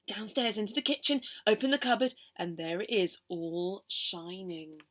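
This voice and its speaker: A woman reading a children's poem aloud in a theatrical, expressive storytelling manner. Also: mid-range voice, normal speed. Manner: theatrical, expressive, with hushed whispers and exclamations. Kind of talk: animated children's poem performance.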